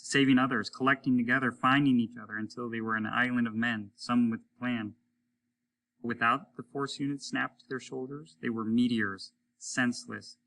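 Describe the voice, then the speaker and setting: A man's voice: mid-range voice, vocal fry, sharp tone, rough recording. A man reading a story aloud as a teacher would, in dramatic storytelling narration.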